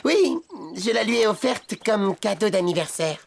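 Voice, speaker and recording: A man's voice: high-pitched, expressive, moderate pace, creaky. A man voicing a timid, fussy, kindly robot with hesitant speech in a French cartoon dub. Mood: tender. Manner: hesitant, with repeated verbal tic.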